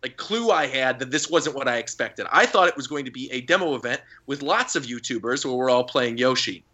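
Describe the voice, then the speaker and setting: Young man, podcast co-host, bright tone, excited storytelling, laughs a lot. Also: mid-range voice, brisk. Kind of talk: casual podcast chat.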